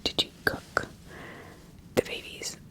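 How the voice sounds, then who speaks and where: A woman's voice: takes it slowly, natural voice. A woman playing a stern magistrate who questions accused witches insistently in a whispered ASMR roleplay. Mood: accusing. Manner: interrogating, insistent, repeating questions.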